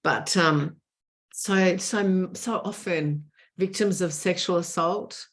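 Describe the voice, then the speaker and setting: A woman talks in a lowish voice. She speaks earnestly and with emphasis, often doubling words to drive a point home. Her delivery is conversational, as a guest answering in an interview. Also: takes it slowly.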